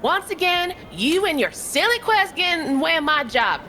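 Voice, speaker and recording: Woman playing a bright, forceful, feisty mechanic. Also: extremely high pitch, big pitch swings, normal speed, slight background noise, full character voice. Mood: exasperated. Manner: folksy, exasperated scolding and teasing. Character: feisty, plain-spoken, powerful-voiced female hangar mechanic. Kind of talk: audio drama character acting.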